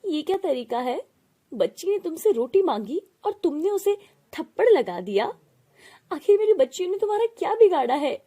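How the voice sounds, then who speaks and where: A woman voicing a gentle, protective widowed mother in a family story, plaintive and pleading. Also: very high-pitched, sing-song, normal speed, voice pushed up. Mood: indignant.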